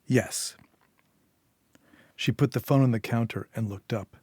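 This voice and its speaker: A man's voice: mid-range voice, fairly quick, mellow, husky, no room sound. Man narrating fiction, dry close sound. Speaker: story reader. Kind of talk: scripted narration of a short story with character voices.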